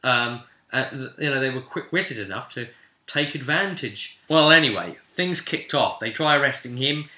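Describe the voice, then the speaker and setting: Bright, forceful man telling game stories. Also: mid-range voice, animated, normal speed. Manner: rambling, emphatic, acts out quoted remarks. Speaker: game master recapping a session. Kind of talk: animated casual storytelling of a tabletop roleplay session. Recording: echoey, reverberant room.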